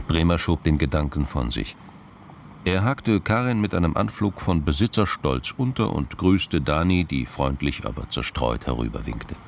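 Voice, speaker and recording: Deep gravelly guy narrating a crime audio drama, noisy background. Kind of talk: scripted literary narration.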